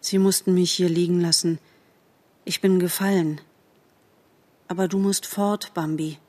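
A woman voicing a weak young male fawn in an audiobook, quiet, earnest and resigned, with grown-up seriousness. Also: lowish voice, fairly even intonation, measured pace. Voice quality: quiet, faint. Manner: grave, simple, grown-up seriousness. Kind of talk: audiobook character voice.

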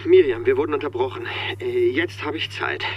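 A man's voice: mid-range voice, fairly quick, noisy background, close to an everyday voice. An actor playing a stressed, self-deluding career man in a radio drama, stammering, defensive and pleading. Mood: anxious. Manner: stammering, flustered. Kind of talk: naturalistic radio drama acting.

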